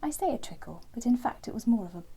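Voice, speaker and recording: A woman narrating a bedtime story for children, gentle and expressive, with phrases often trailing into a hush. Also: on the high side, fast talker, slightly rough.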